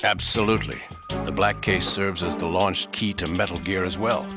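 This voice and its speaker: An actor playing a self-assured military insider in a video game, explaining things in an assertive way. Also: male voice, deep voice, steady tone, normal speed, over music. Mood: confident, explanatory. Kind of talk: video game cutscene acting.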